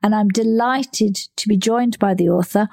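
A woman's voice: medium pitch, normal speed, mellow. Woman hosting a podcast interview. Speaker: podcast host. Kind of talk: scripted podcast intro and interview.

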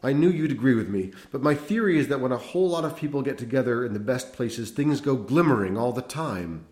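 A man's voice: mid-range voice, lively intonation, a little fast, darkish tone, voice pushed up, close to an everyday voice. A man voicing an agitated, unhinged middle-aged priest who rambles feverishly and insistently in an audiobook. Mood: rambling. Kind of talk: audiobook character voice. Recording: roomy echo.

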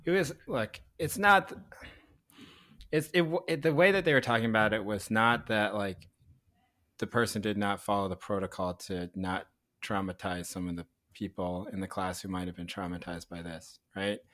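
A man co-hosting a casual chat, animated and outspoken, swearing and laughing as he argues. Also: lowish voice, normal speed. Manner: animated, swearing, laughing, bursts into loud asides. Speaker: podcast co-host.

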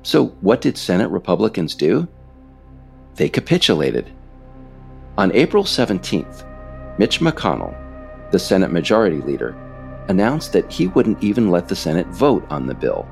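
Deep-voiced man in creaky scripted narration. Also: big pitch swings, moderate pace, long pauses, darkish tone, soft background music. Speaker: author and podcast narrator. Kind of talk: scripted narration of political history.

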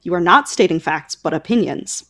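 A woman's voice: fairly low pitch, animated, moderate pace, fairly bright tone. A woman hosting a podcast in a casual, conversational style, informal and full of asides. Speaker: podcast host. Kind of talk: casual conversational book chat.

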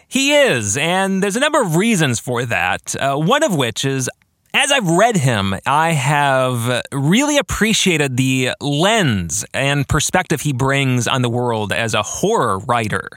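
A man hosting a podcast solo, speaking in an enthusiastic, deliberate, emphatic way with casual asides. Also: mid-range voice, big pitch swings, fairly bright tone, no room sound, studio quality. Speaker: podcast host and novelist. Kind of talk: scripted-sounding podcast monologue with casual asides.

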